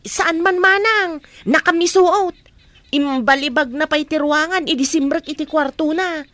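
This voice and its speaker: A woman's voice: sits high, unhurried, a bit bright, no room sound. A woman acting a concerned female relative in a radio drama, talking in an insistent, questioning way. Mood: reproachful, questioning.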